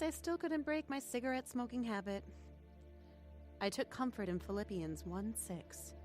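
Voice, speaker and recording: A woman's voice: medium pitch, lightly acted. An actress playing an earnest young woman who reflectively narrates her testimony in a radio drama.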